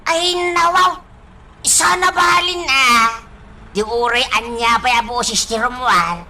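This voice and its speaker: A woman playing an excitable, teasing village elder in an Ilocano radio drama, with folksy exclamations and a swooping delivery. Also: fairly high pitch, takes it slowly, slight background noise, full character voice. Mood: teasing, amazed.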